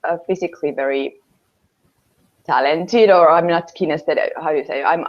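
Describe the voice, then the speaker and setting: A young Finnish woman speaks English with a Finnish accent, her voice set a little low for a woman. She halts often and fills gaps with "uh" while she searches for words. The sound is dull and lo-fi, like a home video call. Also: normal speed. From Finland. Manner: hesitant, searching for English words, many fillers. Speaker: interviewee. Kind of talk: casual interview answers. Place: small room at home, indoors.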